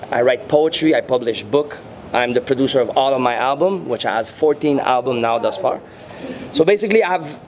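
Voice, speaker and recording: A man in his thirties with a Quebec French accent, French his first language, answering interview questions in English, unhurried, pausing often with fillers into handheld mics. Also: medium pitch. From French-speaking Canada. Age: 30s. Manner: unhurried, searching for words, frequent fillers. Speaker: interviewee, muralist and rapper. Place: indoor venue before a painted backdrop. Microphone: handheld mics held near the chin.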